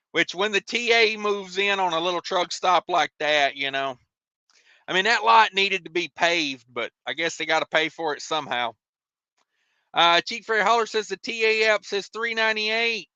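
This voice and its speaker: A man's voice: sits high, bright, forceful tone, no room sound, muffled recording. An American man hosting a casual livestream, reading out viewer comments and replying in a folksy, rambling, colloquial way. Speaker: livestream host, truck driver.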